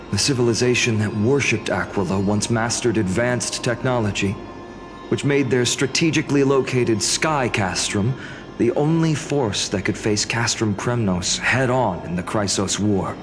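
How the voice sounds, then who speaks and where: A man voicing a thoughtful, scholarly young adventurer in a video game cutscene, speaking in a measured, formal, explanatory way. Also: medium pitch, lightly acted. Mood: informative. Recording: prominent background music under the voice.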